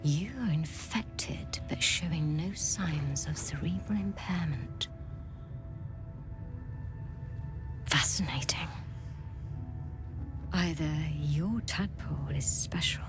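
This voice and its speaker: Haughty alien lady doctor in a fantasy game, low and slow, clinical and condescending, music under it. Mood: coolly fascinated. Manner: dry, precise, condescending.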